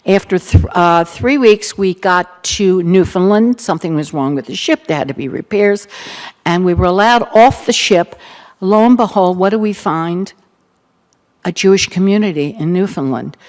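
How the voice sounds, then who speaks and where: A woman's voice: on the low side, normal speed. Older woman giving a reflective testimony. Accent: Baltimore. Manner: earnest, reflective, with gentle humor. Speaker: keynote speaker. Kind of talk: personal testimony talk.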